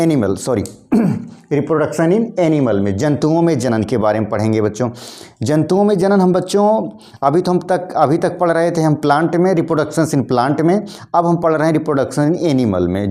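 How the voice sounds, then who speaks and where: A man teaching a biology lesson to students, hoarse from a cold, repeating his points and often clearing his throat. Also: fairly high pitch, warm tone. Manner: repetitive, addresses students as kids, frequent throat clearing. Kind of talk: classroom lesson addressing students.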